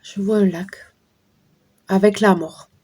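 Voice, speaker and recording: Hoarse middle-aged woman, casual card reader, chatty asides. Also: mid-range voice, fairly even intonation, slow, dark tone. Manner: chatty, talks to viewers while shuffling cards. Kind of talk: casual card-reading livestream talk.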